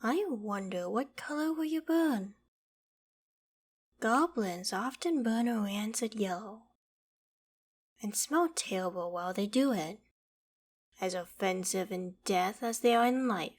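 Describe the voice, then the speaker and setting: A woman playing an ancient, haughty dragon in a theatrical ASMR roleplay, with deliberate, imperious phrasing. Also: medium pitch, lively intonation. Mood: menacing, gloating. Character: ancient female dragon, haughty, menacing, curious about humans. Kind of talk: ASMR roleplay, dramatic monologue to the listener.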